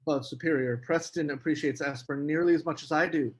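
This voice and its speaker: A man reads the part of a prickly American scholar with a snobbish streak. His voice sits a little high, and he talks in a dry, sardonic, slightly awkward way, as at a table read of a script. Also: fairly even intonation, quick pace, close to an everyday voice. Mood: earnest.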